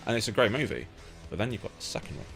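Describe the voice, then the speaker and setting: A man with a low-pitched voice hosts a casual interview. He talks rapidly in an informal, blunt way, with faint music behind him. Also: big pitch swings, vocal fry, a bit bright. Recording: lo-fi amateur interview setup.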